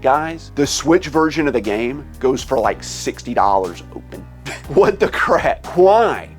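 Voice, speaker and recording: Sick, exasperated man ranting. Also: a little high. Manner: exasperated, animated complaining with laughs. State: sick with a cold, losing his voice. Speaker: gaming channel host. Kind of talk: casual vlog rant. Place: home game room.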